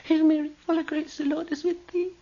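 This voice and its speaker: A man's voice: extremely high pitch, flat delivery, brisk, mellow. An actor playing an unhinged, childlike man terrorised by his mother, whimpering and pleading in a radio horror drama. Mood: childlike praying. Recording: dull radio recording, faint music.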